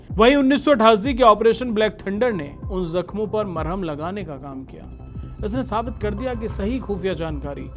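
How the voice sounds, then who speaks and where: A man narrating a scripted history story in an emphatic, measured, dramatic storytelling manner. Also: sits high. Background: background music.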